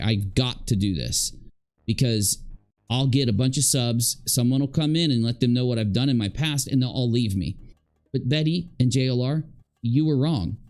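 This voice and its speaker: A man's voice: medium pitch, a little mellow. A man hosting a livestream, ranting casually in an emphatic, repetitive and accusatory way. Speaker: livestream host and video creator. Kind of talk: casual rambling livestream rant.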